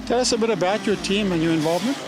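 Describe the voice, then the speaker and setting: Higher-voiced man interviewing. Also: very fast, noisy background. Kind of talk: on-location interview.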